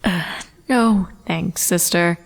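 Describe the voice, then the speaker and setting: A woman's voice: fairly low pitch, takes it slowly, gravelly. A woman voicing a weary, wry elder sister pony princess with a casual, dry manner. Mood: wry, tired.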